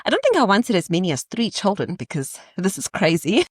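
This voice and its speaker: A woman's voice: mid-range voice, huge pitch swings, brisk, breathy edge, no echo, clean studio sound. A woman in her thirties, a podcast guest, telling candid stories in conversation with playful asides and easy laughter.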